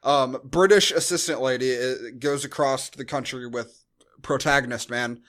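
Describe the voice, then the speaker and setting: A man's voice: mid-range voice, moderate pace, fairly bright tone. A man co-hosting a podcast in casual film chat, with a sarcastic, mocking, sweary manner. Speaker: podcast co-host.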